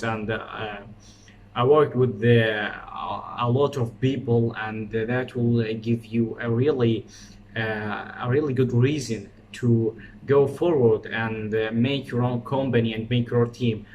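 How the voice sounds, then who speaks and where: A man's voice: medium pitch, a little hiss or hum. Hesitant Arabic-accented teenage boy. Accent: Iraqi Arabic. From Iraq. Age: teens. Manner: hesitant, many filler uh's, non-native phrasing. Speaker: vlogger. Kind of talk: explainer vlog.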